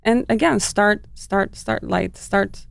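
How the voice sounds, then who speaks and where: A woman's voice: mid-range voice, takes it slowly, creaky. A woman from Albania, a fitness trainer, talking casually in an interview with frequent laughs and restarts. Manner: chatty, warm laughs, many fillers and restarts.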